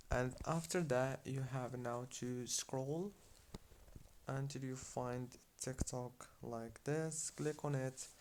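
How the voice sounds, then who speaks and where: A man's voice: mid-range voice, a little mellow. A man hosting a how-to tutorial, giving step-by-step phone instructions in a narrated screen-guide style. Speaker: tutorial host. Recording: faint background noise with clicks.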